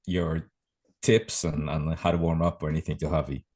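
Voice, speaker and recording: A man's voice: a bass voice, level tone, moderate pace, darkish tone. A man co-hosting a casual sports podcast, reacting with interest and chipping in with short remarks. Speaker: podcast co-host.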